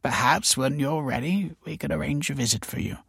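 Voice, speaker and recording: Man voicing a creaky, husky hospital matron. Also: mid-range voice, a little fast, fairly bright tone, no room sound, clean studio sound, voice pushed up. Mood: grave, gentle. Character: grave, tactful hospital matron. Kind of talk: audiobook character acting.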